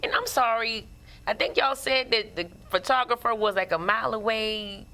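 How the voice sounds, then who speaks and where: A woman's voice: fairly high pitch, steady tone, normal speed, bright, forceful tone, slight background noise. A woman on a panel speaking bluntly and outspokenly.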